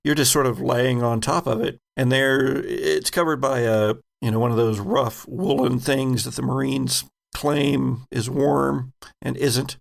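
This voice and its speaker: A man narrating a horror tabletop game as game master, halting as he builds vivid descriptions. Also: medium pitch, level tone, vocal fry.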